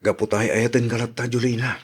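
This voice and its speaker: A man with a Filipino accent playing an earnest suitor in a radio drama, speaking gently and pleadingly. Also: medium pitch, steady tone, a little fast, breathy edge, natural voice. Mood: tender, confessing. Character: earnest, soft-spoken male suitor courting an old love. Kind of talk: Ilocano radio drama acting.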